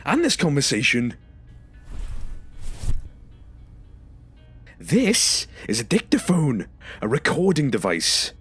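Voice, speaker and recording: A man's voice: medium pitch, huge pitch swings, a little slow, a bit bright, slightly rough, soft background music, full character voice. A man playing a traumatised, confrontational former stasis patient in an audio drama, speaking emphatically and demanding accountability. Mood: firm, threatening.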